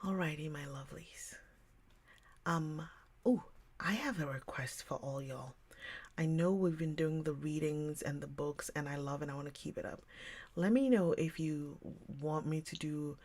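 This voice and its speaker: A woman hosting a livestream, chatting casually with viewers in a rambling way and often dropping into a soft whisper. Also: low-pitched, measured pace. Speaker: livestream host reading books. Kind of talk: casual chat with live viewers.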